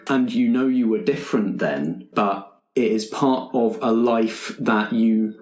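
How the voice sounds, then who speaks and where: A man with a fairly low voice acts as game master for a tabletop roleplaying session. He explains and narrates in a tentative, open-ended manner. The room around him is noticeably echoey. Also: unhurried, darkish tone.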